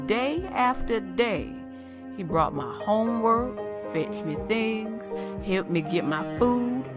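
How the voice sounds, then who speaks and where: Woman as lively, folksy older country storyteller. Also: mid-range voice. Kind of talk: audio drama narration.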